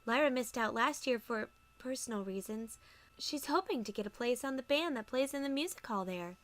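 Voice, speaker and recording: A woman voicing a polite, sweet, bashful female pony in an audiobook, speaking in a courteous, gentle way. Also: a little high, expressive, normal speed. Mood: informative. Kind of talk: audiobook character acting.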